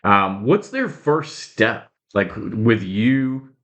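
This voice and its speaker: Man, lowish voice, affable interview host, frequent agreeing interjections. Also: very animated, a bit bright. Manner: affable, quick to chime in with agreement. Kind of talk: casual conversational interview.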